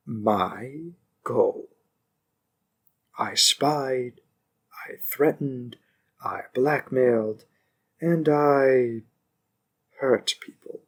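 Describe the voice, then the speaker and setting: Guy acting as young mob heir, husky and unhurried, silky polite but menacing, possessive, roleplay audio. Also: medium pitch. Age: young adult. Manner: slow, deliberate, condescending, silkily polite. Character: young mafia boss, smug, possessive, calmly menacing schemer. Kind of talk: scripted audio roleplay addressed to one listener.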